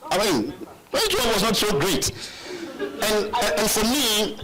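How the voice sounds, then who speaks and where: A man's voice: high voice, sing-song, a little slow, crowd noise, poor audio. A young Ghanaian man speaking on a panel, often repeating words as he restarts a thought. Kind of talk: panel discussion.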